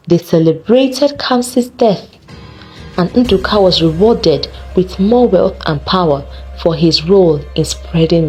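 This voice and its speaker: A woman with a husky voice reads a scripted folktale as its narrator. Her delivery is unhurried and deliberate, with dramatic rises and falls in pitch throughout. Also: darkish tone, poor audio. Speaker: storyteller, narrator.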